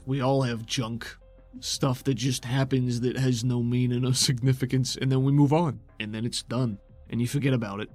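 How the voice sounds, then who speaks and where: A man's voice: medium pitch, steady tone, moderate pace, darkish tone. A man improvising in-character dialogue and narration as game master of a tabletop role-playing show, with casual, folksy phrasing. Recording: music bed behind the voice.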